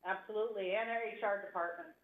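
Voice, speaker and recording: A woman's voice: medium pitch, fairly even intonation, fast talker, a crowd in the background. A woman speaking conversationally at a public budget hearing over a video call with earbuds. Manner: conversational, with fillers. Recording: dull echoey room with faint noise.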